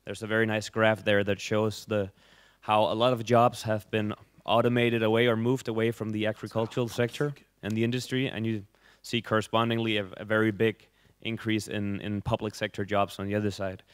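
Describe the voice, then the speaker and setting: Low, smooth-voiced male moderator. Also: flat delivery, fairly quick, no echo. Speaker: session moderator. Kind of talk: moderating a panel discussion.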